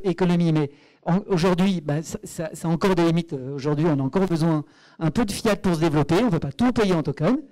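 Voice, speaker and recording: A Belgian man presenting at a tech meetup, his voice a touch higher than most and mellow rather than bright. He talks hesitantly, with fillers and restarts, then drives his points home emphatically. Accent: Belgian French. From Belgium, Brussels. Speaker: startup co-founder presenting at a meetup. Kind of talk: conference presentation.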